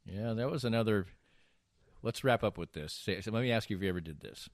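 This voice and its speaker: A middle-aged or older man hosting a podcast, trading playful banter and telling stories in a casual, halting way. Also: on the low side, expressive, no echo, clean studio sound. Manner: joking, playful, halting delivery. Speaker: podcast host.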